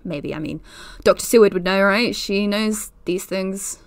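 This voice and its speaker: A woman's voice: mid-range voice, a little slow, no room sound, natural voice. A woman playing a nervous young podcaster. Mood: uneasy. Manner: nervous, hesitant, trailing off. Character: anxious young woman podcaster investigating a mystery. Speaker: podcast host character. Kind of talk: audio drama acting.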